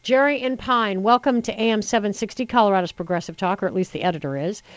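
A woman hosting a call-in radio talk show, chatty and opinionated, talking briskly to callers. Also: mid-range voice, no echo. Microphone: studio microphone.

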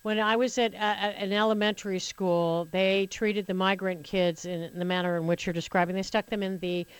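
A woman's voice: medium pitch, steady tone, a little fast, smooth, dry recording, poor audio. Woman, American accent, radio call-in host, emphatic and opinionated, conversational, repeats for emphasis, very dry sound. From Colorado, United States. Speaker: radio talk show host.

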